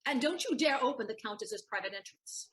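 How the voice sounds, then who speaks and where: Woman as a high-pitched, sharp-witted maid. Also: animated, fairly quick. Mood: teasing, scolding. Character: quick-witted, teasing young lady's maid, sharp-tongued and wary. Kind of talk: theatrical period-comedy stage acting.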